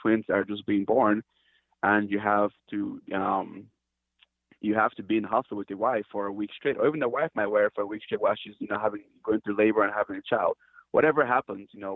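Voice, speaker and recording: Low flat-voiced man on a phone line. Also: dry recording. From Cameroon. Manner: hesitant, restarts often, earnest. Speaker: company founder, guest. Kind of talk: casual interview talk. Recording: tinny dry phone line.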